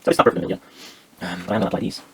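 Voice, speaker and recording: A man casually narrating a hands-on DIY build, haltingly thinking aloud as he works. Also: fairly bright tone, slight background noise. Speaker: DIY maker demonstrating a build.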